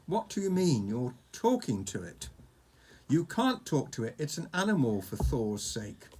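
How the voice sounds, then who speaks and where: A man reading a storybook and voicing an excitable, incredulous young boy who exclaims in astonishment. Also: on the high side, normal speed, a little hiss or hum, voice pushed up. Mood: astonished.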